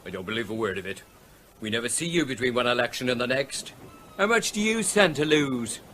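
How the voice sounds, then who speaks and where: A blunt, forceful seaside trader. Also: male voice, medium pitch, expressive, a little fast, slight background noise. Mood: needling, suspicious. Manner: blunt, needling, slangy. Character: blunt, suspicious working-class male seaside trader. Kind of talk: radio drama acting.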